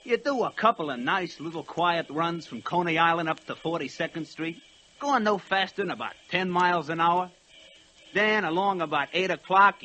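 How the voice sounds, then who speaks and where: A lovelorn working-class locomotive in a 1940s radio play. He is high and bright, talking in slangy, plaintive tough-guy grammar over faint noise. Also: poor audio.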